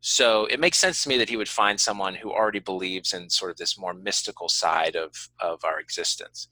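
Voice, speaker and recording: A man with a slightly low voice and a strikingly bright, forceful edge, recorded close and dry. He talks like a podcast host in casual conversation, earnestly explaining his view with measured qualifications. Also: dry recording, poor audio. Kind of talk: casual conversational interview talk.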